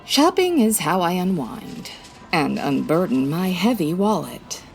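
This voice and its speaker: Breezy low-voiced lady private eye. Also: sing-song, a little slow, vocal fry, faint music behind, heavily acted. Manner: breezy, wry, quipping. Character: classy wry female private eye, former model. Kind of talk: 1940s radio detective acting.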